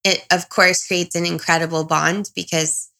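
A woman's voice: fairly low pitch, level tone, normal speed, vocal fry, pushed and bright. A woman in her thirties talking candidly about herself as an interview guest, in a reflective, confiding, self-questioning way. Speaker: interview guest, single parent. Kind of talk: candid personal conversation.

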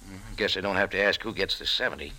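An actor playing a tough, wry American cafe owner with a hard-boiled manner, in 1940s radio style. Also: male voice, low voice, rapid-fire, bright, forceful tone, low-quality recording. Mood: cool, wry. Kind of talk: 1940s radio acting with first-person narration.

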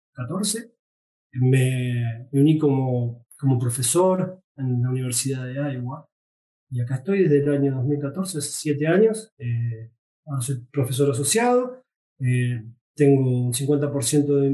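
Hesitant Argentine-accented man, mellow voice. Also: mid-range voice, far from the mic. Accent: Argentine Spanish. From Uruguay, raised in Argentina. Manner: hesitant, repeats words, many fillers. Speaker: guest veterinary pathologist. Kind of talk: interview answers. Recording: echoey room.

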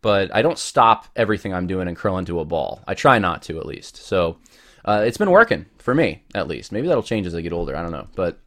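A man's voice: low voice, very animated, fairly quick, a bit bright. A man hosting his own podcast, talking casually and rambling with many restarts while he has a cold. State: has a cold, voice deeper than usual. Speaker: podcast host. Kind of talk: casual solo podcast talk.

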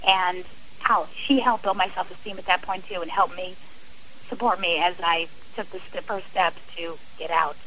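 A woman's voice: on the low side, fairly even intonation, moderate pace, pushed and bright, slight background noise, rough recording. A middle-aged woman guest on a radio interview, speaking earnestly and from the heart about her own experience, often restarting her sentences. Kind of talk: radio interview, personal account.

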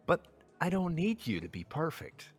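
A man's voice: on the high side, huge pitch swings, normal speed, slightly rough, soft background music, full character voice. Earnest, self-doubting young man. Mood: earnest confessing. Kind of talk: audio drama acting.

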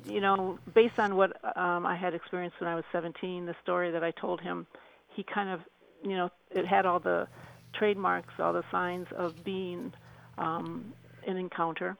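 Female interview guest: gravelly fry, restrained intonation, earnest and reflective, halting conversational phrasing. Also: fairly low pitch, flat delivery, low-quality recording. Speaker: radio show guest.

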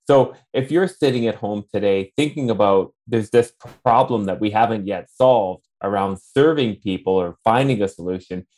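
A man with a fairly low voice hosts an interview podcast. He talks earnestly and effusively, with frequent fillers and small restarts as he introduces and questions his guest. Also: normal speed, few pauses, a little mellow. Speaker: podcast host.

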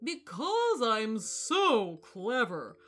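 A woman voicing a huge, smug, boastful male elephant in a children's storybook, booming and condescending. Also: sing-song, very slow, a bit bright, faint music behind, heavily acted. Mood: gloating.

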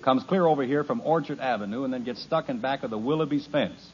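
A man's voice: mid-range voice, fast talker, darkish tone, a little hiss or hum, natural voice. An actor playing a harried suburban father who is sarcastic and exasperated, in 1950s radio comedy. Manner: exasperated, wry. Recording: muffled old radio broadcast.

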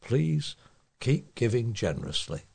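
A man with a husky voice, reading local newspaper articles aloud as a volunteer reader. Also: mid-range voice, big pitch swings, unhurried, a little mellow.

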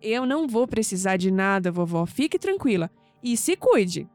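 A woman as an animated, wry young sceptic. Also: mid-range voice, normal speed, no echo, faint music behind, lightly acted. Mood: caring, exasperated. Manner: expressive, wry. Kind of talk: audio drama acting.

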